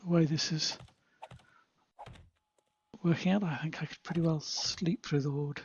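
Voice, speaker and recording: A man voicing a confident jungle man who thinks of himself as British, with a British accent and a husky voice, talking in a chatty, understated way and muttering asides as he plays a game. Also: a little high, fairly even intonation, measured pace, lo-fi sound. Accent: British English. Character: confident jungle man Tarzan turned proper British gent. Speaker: gameplay commentator in character. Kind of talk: in-character gameplay narration.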